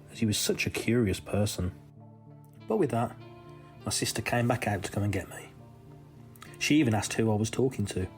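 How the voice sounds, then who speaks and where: Male story reader, lowish pitch, measured scripted first-person narration with careful pauses, music bed. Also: a little mellow. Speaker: reader of a listener's true story. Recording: music under the voice.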